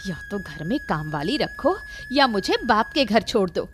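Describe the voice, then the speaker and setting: A woman's voice: medium pitch, big pitch swings, normal speed, soft background music, close to an everyday voice. A woman voicing an anxious, stubborn, complaining housewife and mother in a Hindi cartoon story. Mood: fed up.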